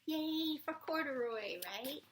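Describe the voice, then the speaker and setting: Female storybook reader, playful and very expressive, slow-paced, slipping into hushed asides for a young listener. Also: high voice, slight background noise. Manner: animated, playful, often drops to whispers. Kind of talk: expressive read-aloud to a young child with chatty asides.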